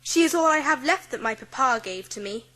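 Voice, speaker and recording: A woman playing a proud, bereaved young girl in an audiobook drama, composed, formal and quietly defiant. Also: high-pitched, animated, a little fast. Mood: subdued, grave. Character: proud, self-possessed, bereaved seven-year-old girl.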